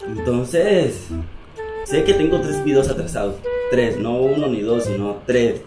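A man's voice: mid-range voice, a little slow, over music. A young man presenting and acting out a home comedy skit, switching between characters. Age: young adult. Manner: animated, playing several characters. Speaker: subscriber making a skit video. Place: small room at home. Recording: echoey home room.

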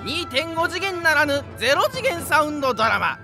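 A woman's voice: sits high, big pitch swings, fairly quick, music in the background. A woman giving dramatic scripted narration for an audio drama episode.

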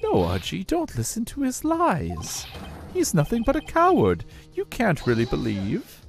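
A man voicing an elderly, gruff, taunting police chief villain in a video game, with a theatrical, mocking manner. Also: high-pitched, wildly swooping pitch, unhurried, soft background music, voice pushed up, heavily acted. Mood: indignant. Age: older.

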